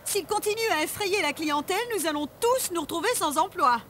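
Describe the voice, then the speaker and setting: A woman's voice: extremely high pitch, a little fast, fairly bright tone, a little hiss or hum. A woman playing a flustered, worried ski lodge manageress in a plaintive, dramatic way for a storybook dub. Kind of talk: storybook read-along dub.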